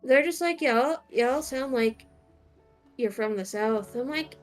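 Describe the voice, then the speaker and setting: A young woman chats through a game stream, slow and halting, with plenty of fillers and false starts. Her voice sits a little high, and faint music plays behind her. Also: lively intonation. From United States. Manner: hesitant, many fillers and restarts. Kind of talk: casual chat.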